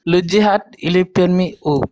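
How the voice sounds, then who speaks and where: A man from Senegal calling into a live debate, speaking slowly and insistently, repeating words when he is cut off. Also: high voice, a little mellow. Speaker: caller. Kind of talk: heated debate.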